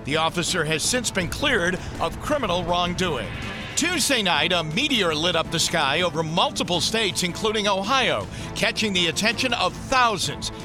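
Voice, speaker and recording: A woman's voice: on the low side, animated, normal speed, over music. A woman hosting a local television news show, speaking in a conversational way as she moderates and presents. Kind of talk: panel moderation and news headlines.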